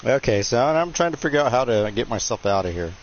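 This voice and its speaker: A man's voice: medium pitch, expressive, quick pace. A man hosting a live game stream, chatting casually in a nasal, joking, self-deprecating way. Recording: dull lo-fi stream mic with faint hiss.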